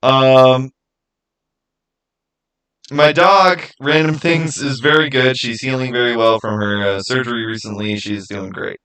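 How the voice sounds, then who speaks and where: A man's voice: mid-range voice, fairly even intonation, a little slow, fairly bright tone, poor audio. A man chatting informally as a livestream host, answering viewer questions and rambling as he thinks aloud. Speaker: livestream host and game master.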